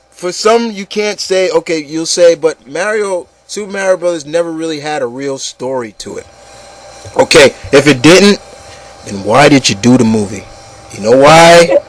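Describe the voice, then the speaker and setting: A man with a slightly high voice, recorded close and dry, delivers a casual, opinionated rant. He sounds exasperated and repeats his words for emphasis, with some profanity. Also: no echo. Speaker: host of an opinion show on video games.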